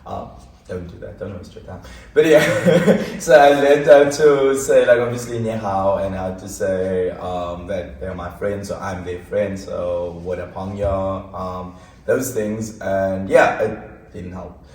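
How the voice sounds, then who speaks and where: Man, lowish voice, easygoing, hesitant, distant mic. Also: takes it slowly, darkish tone. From Cape Town, South Africa. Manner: easygoing, laughs between phrases, hesitant "um"s. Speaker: guest. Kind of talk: casual chat. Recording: roomy, distant mic, faint noise.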